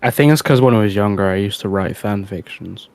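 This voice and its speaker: A man's voice: fairly low pitch, brisk, vocal fry, a little mellow, dry recording. A man chatting casually as a panelist on a livestream. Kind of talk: casual chat.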